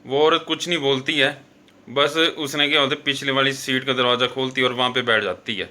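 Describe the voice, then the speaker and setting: A man's voice: medium pitch, steady tone, brisk, pushed and bright. A man narrating a horror story as a show host, in an informal, conversational way with frequent fillers and self-corrections.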